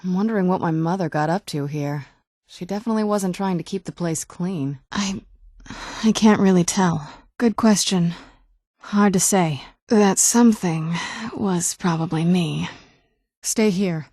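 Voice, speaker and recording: A woman's voice: fairly low pitch, a little slow, mellow, no room sound. A woman playing a guarded, wry young-looking vampire noblewoman in a video game, dry and understated. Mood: guarded, direct. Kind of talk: video game character dialogue.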